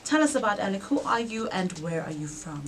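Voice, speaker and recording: Brisk woman radio host. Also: mid-range voice, sing-song, slight background noise. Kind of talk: radio interview. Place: radio studio.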